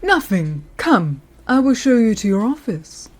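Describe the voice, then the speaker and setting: A woman playing a courteous senior lawyer in an audio roleplay, speaking in a polite, measured, professional way to the listener. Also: on the high side, sing-song, darkish tone, slight background noise. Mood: polite, businesslike. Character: courteous senior female lawyer showing a newcomer around.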